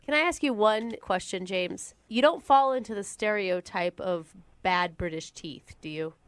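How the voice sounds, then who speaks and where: A woman's voice: medium pitch, moderate pace, clean tone, dry recording. An American woman co-hosting a radio show in casual banter. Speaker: radio co-host. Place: radio studio.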